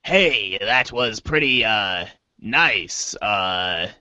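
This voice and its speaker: A man's voice: on the low side, expressive, drawn-out words, sharp tone, no room sound, muffled recording, full character voice. A man playing a casual, slightly whiny teenage boy in an amateur dramatic reading, speaking hesitantly. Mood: casual, unsure. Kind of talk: amateur dramatic reading of webcomic chat dialogue.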